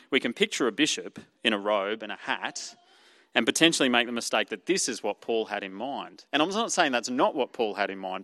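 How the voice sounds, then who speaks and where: A man's voice: fairly low pitch, fairly bright tone. Australian man preaching in a reverberant hall. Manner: earnest teaching tone with many fillers and restarts. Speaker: pastor. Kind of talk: sermon.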